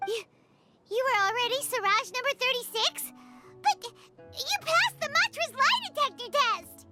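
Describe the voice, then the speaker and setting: A woman voicing a small, excitable, childlike companion creature in an anime-style video game, feisty and loyal. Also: measured pace. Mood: shocked, accusing. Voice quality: squeaky, cartoonish. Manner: excitable, refers to self in third person. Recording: loud music behind the voice.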